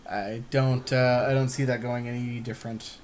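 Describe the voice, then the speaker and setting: A man's voice: mid-range voice, little pitch movement, normal speed, background noise. A man co-hosting a card game podcast, chatting casually and chiming in with short, agreeable comments on the plays.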